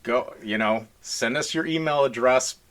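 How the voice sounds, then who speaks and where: A man's voice: mid-range voice, normal speed, fairly bright tone. A man co-hosting a podcast in casual, joking chat, rambling along in a folksy way. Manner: rambling, folksy y'all talk. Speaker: podcast co-host.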